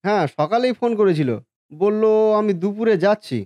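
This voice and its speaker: A man's voice: high-pitched, moderate pace, darkish tone, dry recording, lightly acted. A man playing a hardworking, dutiful grown son in a cartoon family drama, caring and businesslike. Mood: hurried, caring.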